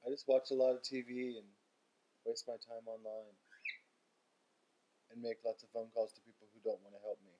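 A man's voice: medium pitch, little pitch movement, normal speed, long pauses, dark tone, poor audio. A man in his twenties talking casually in a weary, dryly sarcastic, grumbling way, as in a rambling video diary at home on a webcam. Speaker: vlogger. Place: room in a house.

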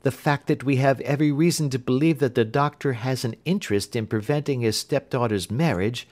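A man's voice: mid-range voice, a little fast, darkish tone, voice pushed up, close to an everyday voice. A man voicing an urbane, imperturbable detective in an audiobook, precise and drily amused. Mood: analytical. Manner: bland, unruffled, precise. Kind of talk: audiobook character acting.

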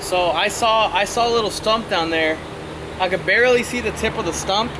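A man's voice: high voice, moderate pace. Young man vlogging from a riverbank. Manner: relaxed, explains while fishing, many fillers. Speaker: fishing vlogger. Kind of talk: casual outdoor fishing vlog. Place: outdoors on a riverbank. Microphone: clip-on lapel mic. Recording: outdoor noise behind.

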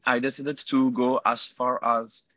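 A man's voice: mid-range voice, flat delivery, measured pace, sharp tone, dry recording, dull audio. A young man from Switzerland with a French accent, answering interview questions over a video call, hesitant with many fillers and self-corrections. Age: 20s. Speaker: guest entrepreneur. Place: indoor room by a window. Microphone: earbuds on a video call.

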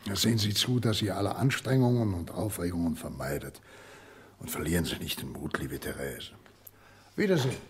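An actor playing a paternal older family doctor in a radio play, husky, grave and consoling. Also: male voice, deep voice, lively intonation, brisk, slight background noise.

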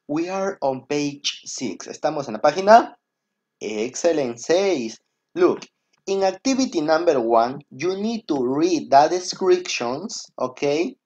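Young Peruvian man, Spanish-accented English teacher, very slow pace, animated pitch, encouraging, muffled lo-fi recording. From Peru. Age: 20s. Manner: encouraging, simple repeated instructions. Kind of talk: bilingual recorded lesson. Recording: dull, lo-fi screen-recording audio.

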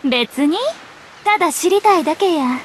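A woman's voice: high voice, lively intonation, a little slow, slight background noise. An actress voicing a self-possessed, teasing young woman journalist in a Japanese game, speaking in a nonchalant Kyoto-style Kansai accent. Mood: breezy. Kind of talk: Japanese anime game voice acting.

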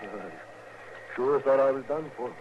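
Captured rustler: cynical, questioning, rough grammar, Western American accent, hissy vintage radio western. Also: male voice, medium pitch, animated, slow pace. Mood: puzzled, skeptical. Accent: rural American Western. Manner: plainspoken, ungrammatical, questioning. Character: cynical, puzzled cattle-rustler prisoner. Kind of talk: 1950s radio western acting. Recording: dull, hissy 1950s radio recording.

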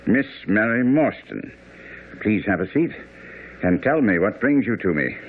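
A self-assured gentleman detective of Victorian London in a radio play. He is formal and keen, with lively swings in a deep voice. The old broadcast sounds thin, with faint noise. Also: unhurried, phone-quality sound. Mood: keen, brisk. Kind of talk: radio drama acting.

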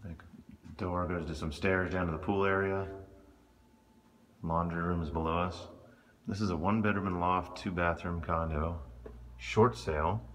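A man, a real estate agent, giving a relaxed, offhand walkthrough of a condo while filming on a handheld phone. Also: low-pitched, unhurried, pauses often, slight background noise. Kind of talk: casual property walkthrough. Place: condo interior, walking through rooms.

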